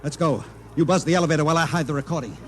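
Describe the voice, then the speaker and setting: An American actor playing a decisive, authoritative middle-aged boss in a 1950s radio drama, giving brisk orders and reassurance. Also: male voice, fairly high pitch, lightly acted. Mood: brisk, tense. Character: decisive, authoritative older boss guiding a frightened subordinate. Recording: noisy vintage 1950s radio recording.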